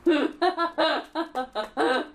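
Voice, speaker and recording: Giggly, teasing woman with a high voice. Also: drawn-out words, slight background noise. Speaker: co-host watching a playthrough. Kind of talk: casual gaming chat.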